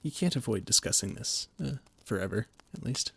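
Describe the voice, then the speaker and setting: Man voicing a young royal advisor, stammering, formal, gravelly low voice. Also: lively intonation, brisk, fairly bright tone, no room sound, heavily acted. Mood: worried. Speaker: voice actor in an audio roleplay. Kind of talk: scripted audio roleplay.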